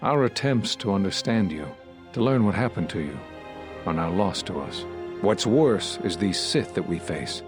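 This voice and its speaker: An actor playing a solemn, regretful Jedi master in a video game, speaking in a measured, sombre and earnest way. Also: male voice, low-pitched, expressive, music in the background. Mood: troubled. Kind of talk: dramatic video game dialogue.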